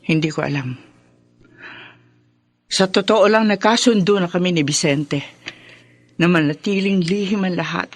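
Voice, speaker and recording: A woman's voice: lowish voice, measured pace, pauses often. A woman playing an anxious, resigned middle-aged mother who is confessing in a radio drama. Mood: fearful, resigned. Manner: confessional, explanatory.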